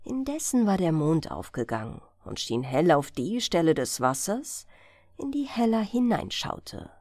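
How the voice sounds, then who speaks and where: Slow, expressive woman bedtime storyteller. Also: lowish voice, no echo, studio quality. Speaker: audiobook narrator. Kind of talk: bedtime fairy-tale narration.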